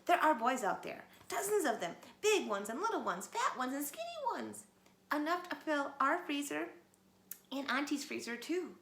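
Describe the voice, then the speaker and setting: A woman voicing a mischievous young male wolf cub who shouts and pleads. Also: sits high, very animated, moderate pace, pitched above the performer's own voice, full character voice. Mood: excited. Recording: roomy echo, faint background noise.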